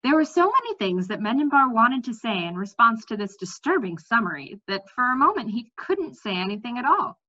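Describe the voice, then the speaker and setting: A young woman reads a children's fantasy novel aloud in an expressive storytelling style. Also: fairly high pitch, brisk, few pauses, highs cut off. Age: young adult. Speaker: amateur book reader. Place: bright room at home, indoors.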